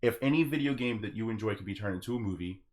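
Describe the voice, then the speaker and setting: Male podcast host, low voice, earnest and rambling, speaking casually to his audience. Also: racing, a little mellow. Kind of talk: casual podcast sign-off addressed to listeners.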